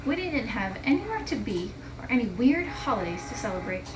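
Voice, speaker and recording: A woman's voice: fairly high pitch, very animated, brisk, recorded from a distance. A woman teacher reading a children's story aloud expressively, recorded in an echoey, noisy room. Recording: echoey room with clear background noise.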